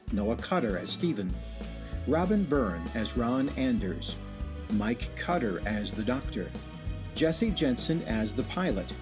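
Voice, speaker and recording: Wry male radio host, music under. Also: mid-range voice. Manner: wry, ominous sign-off. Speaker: host and writer-director. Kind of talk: scripted radio-show narration.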